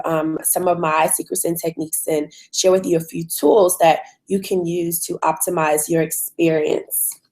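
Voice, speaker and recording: A woman with a hoarse voice from a cold, hosting a live online webinar in a conversational way with frequent ums. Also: fairly low pitch, a little mellow. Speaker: webinar host.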